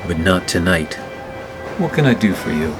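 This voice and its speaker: A man playing a weary, wry 1940s private eye in a noir radio play, wisecracking and narrating in a deadpan manner. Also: deep voice, normal speed, over music.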